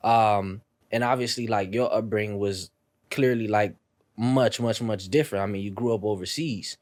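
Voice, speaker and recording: A young man from North Miami co-hosting a podcast, asking questions in a joking, animated way. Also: on the low side, normal speed. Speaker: podcast co-host. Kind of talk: casual podcast conversation.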